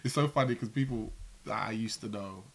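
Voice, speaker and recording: A man's voice: on the low side, a little fast, breathy edge. A young man co-hosting a casual religious commentary chat, playful and jokey as he tells a story. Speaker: co-host.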